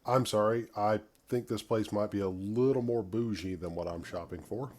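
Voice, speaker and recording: A man's voice: lowish voice, moderate pace, a little mellow, close to an everyday voice. A man voicing a courteous, wry space adventurer for a game playthrough, with formal, articulate phrasing. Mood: polite, wry. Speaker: gamer voicing his own player character. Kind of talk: amateur voice-over for game playthrough. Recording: home voice-over with clear background noise.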